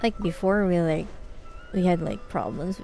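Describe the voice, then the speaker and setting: Creaky, low-pitched woman streaming a game. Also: a little slow, dark tone. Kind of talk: casual live gameplay commentary. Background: faint game music.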